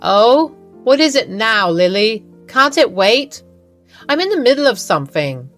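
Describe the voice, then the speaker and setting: A woman's voice: a little high, big pitch swings, a little slow, fairly bright tone, soft background music. A woman playing a curt, scornful mother-in-law. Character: rude, dismissive middle-aged mother-in-law.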